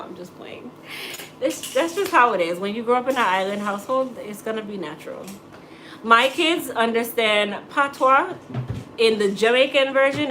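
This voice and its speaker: A woman's voice: medium pitch, a bit bright, a little hiss or hum, rough recording. A middle-aged American woman, a hairstylist, chatting casually with live-stream viewers, joking and teasing as she answers. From United States. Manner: joking, teasing, laughs often.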